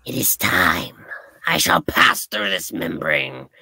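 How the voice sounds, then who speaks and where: A man's voice: sits low, wildly swooping pitch, slow, vocal fry, sharp tone, husky, no room sound, voice pushed down, heavily acted. A man voicing a grandiose, gloating, power-mad goblin supervillain from a comic book in a live dramatic reading. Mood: scheming menace. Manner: grandiose villainous monologuing.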